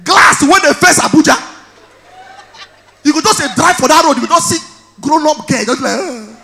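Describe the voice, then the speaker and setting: A man in his thirties with a Nigerian accent, performing stand-up on stage with a handheld mic, telling stories loudly and shouting his punchlines. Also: very high-pitched, animated, normal speed, vocal fry. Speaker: stand-up comedian. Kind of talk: stand-up comedy in Pidgin. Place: large indoor event hall on stage.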